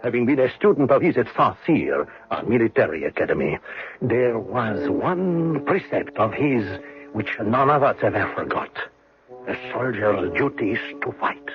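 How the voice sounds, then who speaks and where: An actor playing a French officer earnestly narrating in a scripted radio drama. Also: male voice, medium pitch, very animated, moderate pace, lightly acted. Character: French officer, former student, earnestly recounting events. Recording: muffled old radio broadcast. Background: music.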